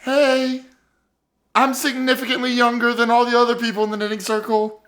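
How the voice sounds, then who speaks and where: A man's voice: very high voice, monotone, moderate pace, fairly bright tone. A man voicing a defensive, matter-of-fact grandmother in her mid-thirties for an improvised comic radio play.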